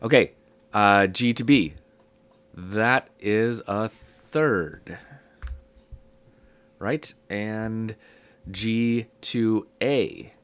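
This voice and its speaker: A man's voice: fairly low pitch, extremely slow, pauses often, clean tone. Sick man teaching music theory. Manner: relaxed, thinking aloud with many ums. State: sick, voice low and hoarse. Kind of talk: casual spoken lesson.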